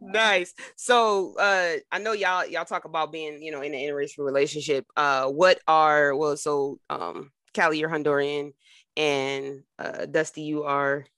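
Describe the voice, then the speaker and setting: Podcast host, woman, bright voice, easygoing slangy questions, dry close sound. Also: sits low, a little slow, smooth, dry recording. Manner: relaxed, slangy, repeats words while asking questions. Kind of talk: casual interview chat.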